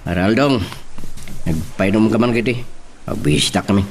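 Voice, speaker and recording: A man's voice: on the low side, fairly quick, a little hiss or hum, close to an everyday voice. A man with a Filipino accent acting an irritable, proud father in a family radio drama. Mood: irritated, sulky.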